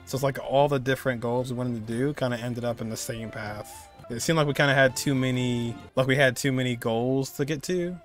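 Casting: male game streamer, twenties, medium pitch, casual reaction commentary over faint music. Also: steady tone, fairly quick, few pauses. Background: game music and sound.

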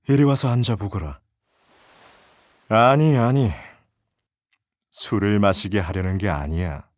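A man playing an amused, indulgent Joseon nobleman in a historical roleplay ASMR, with archaic, gently commanding, teasing speech. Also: low voice, dry recording, clean studio sound, exaggerated voice. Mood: amused, coaxing. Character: wealthy Joseon nobleman, amused, indulgent patron of a young gisaeng. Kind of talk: Korean historical roleplay ASMR audio drama. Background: traditional Korean court music.

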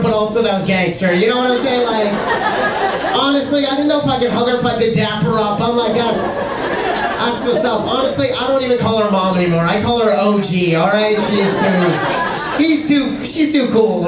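High-voiced teenage boy doing live stand-up. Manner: casual, slangy, exclamatory delivery. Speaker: stand-up comedian. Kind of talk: stand-up comedy, conversational crowd work. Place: indoor comedy club stage. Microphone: handheld stage microphone, picked up from afar. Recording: echoey live club, distant, noisy crowd. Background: loud audience noise.

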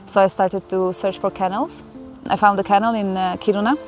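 Young woman, from Hungary, English, emotional storytelling, mid pitch, music behind. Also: steady tone, a little fast. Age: young adult. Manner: earnest, emotional, chatty with fillers. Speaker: interviewee. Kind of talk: personal storytelling interview. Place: outdoors in a forest. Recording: clear music bed under the voice.

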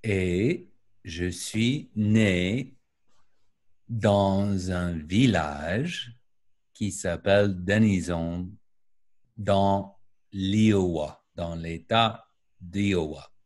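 A middle-aged man from the United States answers over a video call from his home, speaking French with an American accent. He places each word slowly and deliberately, in a fairly low voice. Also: darkish tone. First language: English. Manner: careful, deliberate learner's French. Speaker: guest, video editor. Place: home room with paintings and lamp, indoors. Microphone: webcam on a video call.

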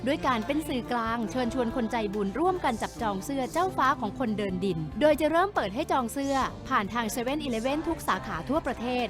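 A woman's voice: high-pitched, lively intonation, fast, few pauses, over music. A woman reading scripted promotional narration as an entertainment news presenter.

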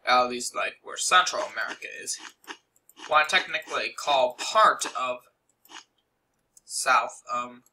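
A man's voice: medium pitch, lively intonation, a little slow, long pauses, pushed and bright, slight background noise, poor audio. A young man casually narrating a game-building tutorial over a screen recording, rambling with many fillers and asides.

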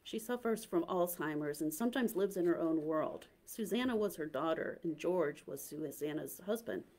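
A woman reading the part of an older man, gentle and pleading. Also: deep voice, animated, normal speed, poor audio. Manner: pleading, hesitant.

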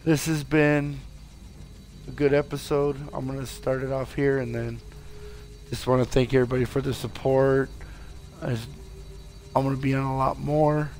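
A tired, hoarse man giving casual let's play commentary, swearing and yelping at the game. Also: mid-range voice, steady tone, normal speed, pauses often, a little mellow, faint music behind. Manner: swears a lot, yelps at the game.

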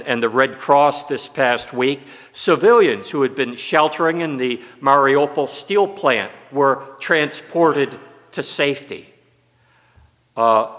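An older American man, a pastor, preaching a sermon from a pulpit with broad gestures. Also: fairly high pitch. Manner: slow, expressive preaching with broad hand gestures. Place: church sanctuary, at a pulpit. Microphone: pulpit mic. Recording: long church reverb.

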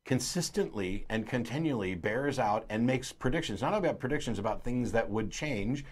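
A man's voice: mid-range voice, expressive, fairly quick. A middle-aged man hosting a call-in show in a studio, explaining his points emphatically and gesturing as he talks. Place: studio, at a desk. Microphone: lapel mic.

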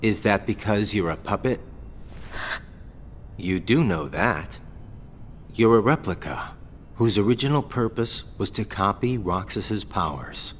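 A man's voice: on the low side, expressive, measured pace, long pauses, slight background noise. A man voicing a laid-back, wry young fighter in a game cutscene, with an offhand drawl. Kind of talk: video game cutscene acting.

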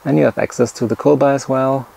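Guy doing a camping vlog, gravelly low voice, halting chat, a bit of noise behind him. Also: steady tone, a little mellow. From Germany. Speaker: camping vlogger. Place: outdoors at a campsite and in an awning tent.

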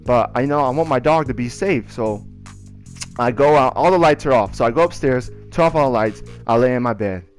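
A young man telling personal stories in a casual, rambling, slangy and excitable way. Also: mid-range voice, brisk. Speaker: video creator telling personal ghost stories. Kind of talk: casual storytelling over gameplay. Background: music.

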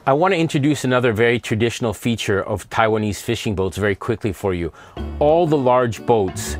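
A man in his forties presenting a travel show on a fishing boat, explaining things to camera with a lapel mic. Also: lowish voice. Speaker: show host visiting fishermen. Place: fishing boat and indoor seafood market. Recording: with background music.

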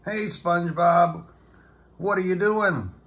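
A man voicing a carefree, easily distracted best buddy from a children's cartoon storybook, loud and simple-minded. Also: sits high, slow, breathy edge, voice pushed up, heavily acted. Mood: curious.

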